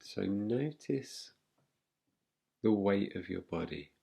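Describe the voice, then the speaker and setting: Slow, deep-voiced man guiding meditation. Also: expressive, creaky, mellow, breathy edge. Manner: soothing, unhurried, with long pauses. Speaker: meditation teacher. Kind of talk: guided relaxation meditation.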